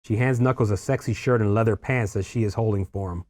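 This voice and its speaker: A man's voice: on the low side, fairly even intonation, quick pace, darkish tone. A man narrating the stage directions of a screenplay as a scripted read.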